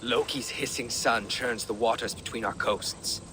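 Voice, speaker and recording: An actor playing a hearty, easygoing Norse seafaring warrior in a game cutscene. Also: male voice, mid-range voice, measured pace, bright, forceful tone, slight background noise. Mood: relaxed, festive. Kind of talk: video game cutscene acting.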